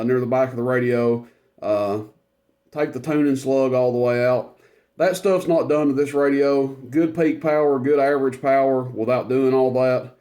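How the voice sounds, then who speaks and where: Man, loud voice, mid-range pitch, easygoing hobbyist showing off radio gear, rambles with plenty of "uh"s. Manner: relaxed, rambling, many fillers. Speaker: CB radio reviewer. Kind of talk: casual product demonstration.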